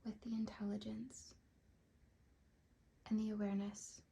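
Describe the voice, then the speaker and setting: Female meditation guide, ASMR style: hushed, very breathy delivery, even and gentle, unhurried. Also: mid-range voice. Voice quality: breathy, whispery. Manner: soothing, gentle, unhurried with long pauses.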